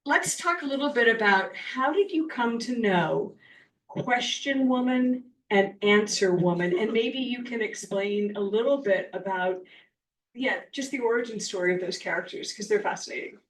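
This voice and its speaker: A middle-aged woman with a loud voice, opening an onstage interview in a lively, self-deprecating way and laughing often. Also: mid-range voice, normal speed, slight background noise. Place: event room at a book club. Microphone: handheld mic. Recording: echoey room from far off.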